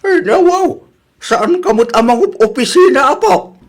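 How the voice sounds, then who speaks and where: A man voicing an excitable, meddling elderly grandparent with drawn-out exclamations in a comedy radio drama. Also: very high-pitched, voice pushed up, heavily acted. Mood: puzzled.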